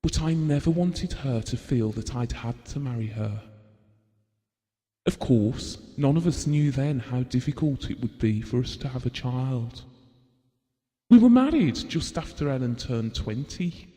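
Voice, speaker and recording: A man's voice: mid-range voice, animated, unhurried, mellow, lightly acted. A man acting a devoted middle-aged husband in an audio drama, reminiscing tenderly and wistfully. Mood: wistful, fond. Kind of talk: theatrical audio drama monologue and dialogue. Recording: long hall-like reverb.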